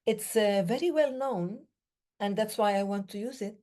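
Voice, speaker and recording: A woman born in the Middle East, giving a reflective talk on breathing in slow, measured phrases with pauses between them. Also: medium pitch, dark tone. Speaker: breathing day speaker.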